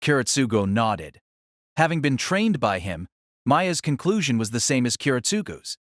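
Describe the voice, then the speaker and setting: A man's voice: mid-range voice, very animated, normal speed, no echo, studio quality. A man reading scripted narration for an audio drama adaptation of a novel.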